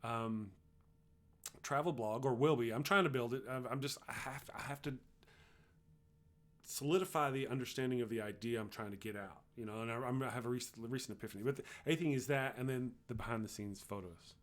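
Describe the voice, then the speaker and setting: A middle-aged man with a Texas accent hosts a casual livestream at an ordinary mid pitch. He rambles along in a loose, chatty way, restarting sentences as he talks to viewers. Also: brisk, fairly bright tone. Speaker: livestream host. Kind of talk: casual livestream chat, reading viewer comments.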